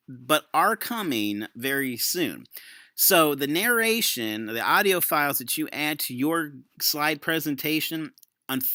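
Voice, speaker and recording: A man's voice: mid-range voice, pushed and bright, no room sound, studio quality. A man giving a casual screen-share tutorial as an educator, explaining things conversationally. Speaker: educator demonstrating a software tool.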